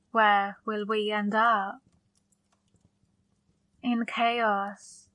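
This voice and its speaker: A woman voicing a flirty, controlling female demon who coaxes and softly commands in an intimate ASMR roleplay. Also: medium pitch, dry recording. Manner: slow, deliberate, coaxing, softly commanding. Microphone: close microphone.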